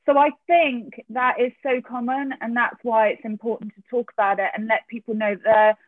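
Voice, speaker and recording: A woman's voice: fairly high pitch, fairly even intonation, moderate pace, no echo. A woman hosting a podcast interview, encouraging and chatty, with hesitant fillers. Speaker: podcast host. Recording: tinny phone-like line, dry.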